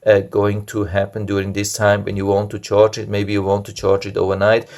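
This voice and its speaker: Low-voiced man reviewing a product. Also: completely flat delivery, brisk, a little mellow. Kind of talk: casual unscripted product review and demonstration.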